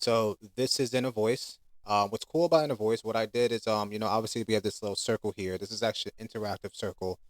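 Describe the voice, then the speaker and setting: A man's voice: fairly low pitch, no echo. A man presenting his own app in an informal, conversational, unscripted demo. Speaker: app creator giving a product demo. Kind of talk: casual unscripted screen demo talk.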